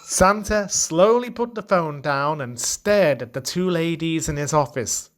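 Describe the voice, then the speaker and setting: A man's voice: a little high, animated, a little slow, a little hiss or hum. A man narrating a radio play from a script as a storyteller.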